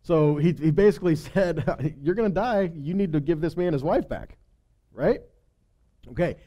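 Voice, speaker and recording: A man's voice: fairly high pitch, lively intonation, normal speed, warm tone. A man giving an informal Bible study, retelling stories conversationally, acting out the dialogue and often checking in with a rhetorical "right?". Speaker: Bible teacher leading a weekly Torah study. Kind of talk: informal sermon, Bible teaching with acted-out paraphrase.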